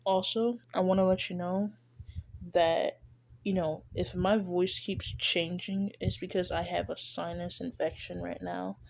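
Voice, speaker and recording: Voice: mid-range voice, flat delivery, measured pace, creaky, a little hiss or hum. Stuffy, shy, hesitant commentator. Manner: shy, hesitant, many fillers and restarts. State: stuffy, congested nose. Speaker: reaction video creator. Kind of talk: casual commentary on a played clip. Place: lives in Texas.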